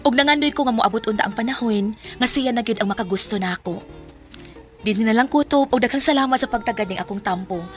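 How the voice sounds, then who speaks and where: A woman's voice: on the high side, quick pace, close to an everyday voice. A woman playing an emotional young student, heartbroken and remorseful, in a radio drama. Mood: wistful. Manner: emotional, melodramatic. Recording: radio drama with music bed.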